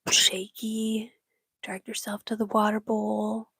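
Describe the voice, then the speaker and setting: A woman from Michigan whispering in casual chat with her livestream viewers, breathy and laughing often. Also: medium pitch, completely flat delivery, takes it slowly, bright, forceful tone, no room sound. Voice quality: breathy, gravelly. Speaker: livestream host.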